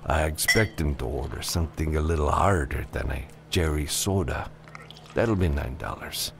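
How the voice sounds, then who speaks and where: A man acts out a big, unimpressed barkeep in a space western audiobook. His voice sits very low with a gravelly edge. He talks bluntly and offhand, wary of strangers. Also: moderate pace, fairly bright tone, slight background noise, exaggerated voice. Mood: amused, wary. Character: large, unimpressed male saloon keeper, wary and blunt.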